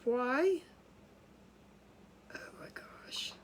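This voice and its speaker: A woman's voice: high-pitched, expressive, drawn-out words, whispered. Chatty woman with a nasal, congested voice. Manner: chatty, scattered, frequent laughs and restarts. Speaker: craft livestream host. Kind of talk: casual livestream chat. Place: home.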